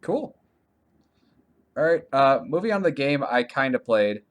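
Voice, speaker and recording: A man with a medium-pitched voice chats as a podcast co-host. He speaks casually and haltingly, circling back to correct himself and padding his thoughts with "like" as he gives his impressions. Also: sing-song. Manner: halting, self-correcting, heavy use of "like". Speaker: podcast co-host reviewing video games. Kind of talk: casual conversational game review chat.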